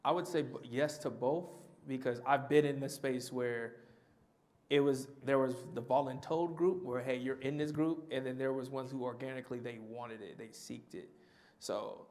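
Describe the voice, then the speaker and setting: Panelist, male, mid-pitch, off-the-cuff answer that restarts and circles back. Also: steady tone, moderate pace, darkish tone. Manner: conversational, restarts and repeats himself. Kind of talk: answering audience question.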